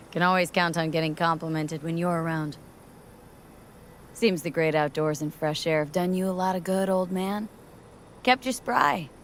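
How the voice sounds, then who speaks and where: A woman's voice: fairly low pitch, measured pace, long pauses, slight background noise. An actress playing a young female mercenary in a video game, speaking in a casual, clipped, wry way. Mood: wry, teasing.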